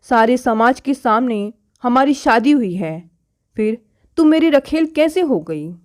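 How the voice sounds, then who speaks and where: A woman narrator reads as a husband defending himself in a domestic storybook tale. The voice is restrained and explanatory, and fairly high in pitch. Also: animated, a little slow. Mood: defensive. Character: self-justifying husband with a second wife.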